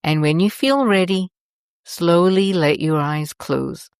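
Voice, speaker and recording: A woman with a low voice guides a meditation at a very slow pace. Her delivery is gentle, soothing and deliberate. The sound is dry and close, with no room echo. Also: clear, resonant tone.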